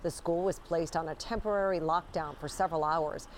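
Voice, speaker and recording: A woman's voice: low voice, steady tone, a little fast, a little hiss or hum. A woman anchoring a TV newscast, reading scripted stories. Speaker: news anchor. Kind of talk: scripted TV news delivery.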